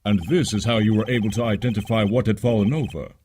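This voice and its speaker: A man's voice: lowish voice, fairly even intonation, fast talker, warm tone, slight background noise, close to an everyday voice. A man voicing an authoritative but easily confused judge in a courtroom game fandub, with a solemn, commanding manner. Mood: puzzled, solemn.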